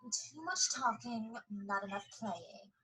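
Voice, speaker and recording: A woman's voice: a little high, expressive, slow, bright, forceful tone, full character voice. A woman acting a bossy, smug superhero teacher in a scripted audio drama, theatrical and commanding. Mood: mocking. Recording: clearly noisy amateur recording.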